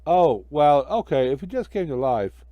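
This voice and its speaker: A man voicing a gruff, scolding traffic cop in a children's storybook, exasperated and blustery. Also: on the high side, sing-song, moderate pace, no room sound, dull audio. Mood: grudging. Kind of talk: amateur children's storybook reading.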